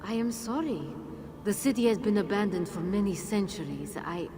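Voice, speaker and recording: An actress playing an ancient, aloof female spirit guide in a video game, speaking in a measured, formal way. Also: mid-range voice, expressive, over music, natural voice. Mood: calm, apologetic.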